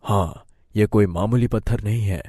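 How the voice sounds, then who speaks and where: A man voicing a grave, low-pitched serpent spirit. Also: dark tone, husky, no echo, studio quality. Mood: calm, assuring. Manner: grave, measured, gracious. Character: solemn, benevolent male shape-shifting serpent spirit in human form. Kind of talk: cartoon moral story voice acting.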